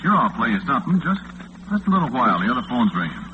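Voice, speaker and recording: An American actor playing a lonesome, affable late-night disc jockey in 1940s radio style, chatting in a folksy, hesitant way. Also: male voice, medium pitch, very animated, fast talker, natural voice. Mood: friendly, obliging. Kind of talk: 1940s radio acting, one-sided phone chatter. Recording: muffled, noisy 1940s radio recording, phone-like band.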